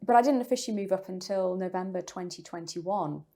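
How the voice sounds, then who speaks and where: English woman, lower pitch, novelist guest, reflective, hesitant fillers. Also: brisk, mellow. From England. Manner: thoughtful, frequent fillers and restarts. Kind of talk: interview conversation.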